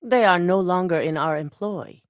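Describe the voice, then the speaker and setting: A woman's voice: fairly low pitch, normal speed, no echo, close to an everyday voice. A woman voicing a cool, exacting female corporate executive in recorded game dialogue, speaking in a clipped, controlled, coolly authoritative way. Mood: commanding. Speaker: game character.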